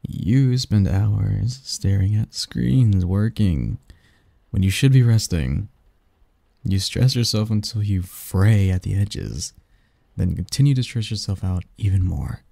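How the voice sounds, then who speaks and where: A man voicing a seductive, doting sleep demon lover in an intimate ASMR roleplay, breathy and coaxing, close to the mic. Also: on the low side, lively intonation, mellow, no room sound, exaggerated voice. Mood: tender, pleading. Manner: slow, coaxing, teasing, murmured. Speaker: roleplay audio performer. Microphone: close, dry microphone.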